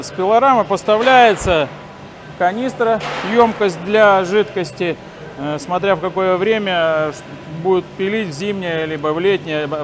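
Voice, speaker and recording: A man in his forties giving a halting, step-by-step hands-on demonstration while he works. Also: high voice. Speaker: instructor demonstrating sawmill assembly. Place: large factory workshop hall, indoors. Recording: noisy industrial workshop ambience. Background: clear workshop noise.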